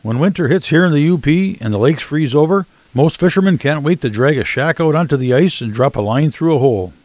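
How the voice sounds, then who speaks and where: A man doing scripted voice-over narration for an outdoors show, measured and even. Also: fairly high pitch. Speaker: show host.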